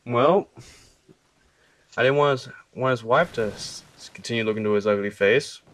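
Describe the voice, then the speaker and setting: A man's voice: mid-range voice, moderate pace, fairly bright tone. A young man hosting his own gaming commentary channel, chatty and jokey, reacting to the match as he plays. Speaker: channel host.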